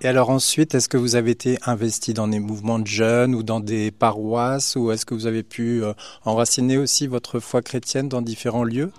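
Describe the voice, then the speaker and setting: A man's voice: medium pitch, little pitch movement, normal speed, hardly any pauses, no room sound. Male radio interviewer, dry close sound. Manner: gentle, hesitant questions with fillers.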